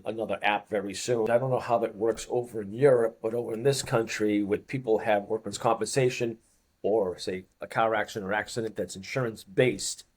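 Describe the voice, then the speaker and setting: Husky Boston man telling stories. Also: on the low side. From Boston, USA. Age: 50s. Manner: rambling anecdotal storyteller, chuckles often. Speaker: guest, fitness coach. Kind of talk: casual interview chat.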